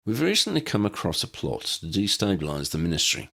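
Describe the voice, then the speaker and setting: Man playing an earnest, animated law officer. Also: low voice, fairly quick, creaky, exaggerated voice. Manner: direct, polite, slightly irritable. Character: earnest, decisive male head of wizard police.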